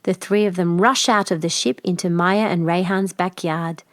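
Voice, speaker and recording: A woman's voice: on the low side, fairly even intonation, normal speed, dry recording, clean studio sound. A woman reading a children's picture book aloud as a scripted narration. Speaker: storybook reader.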